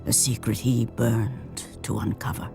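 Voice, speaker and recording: A grave teller of ancient myths, narrating a game cutscene very slowly and solemnly. The delivery is portentous, with weighty pauses, over clearly audible music. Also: male voice, mid-range voice, fairly even intonation. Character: grave storyteller of ancient myth. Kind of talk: dramatic scripted narration for a video game. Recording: music behind the voice.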